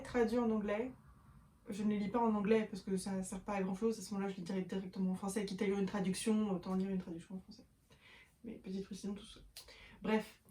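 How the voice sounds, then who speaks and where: A woman's voice: medium pitch, warm tone. A young woman talking casually to camera about her reading, with many fillers and self-corrections as she shows books. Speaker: book vlogger. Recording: echoey room.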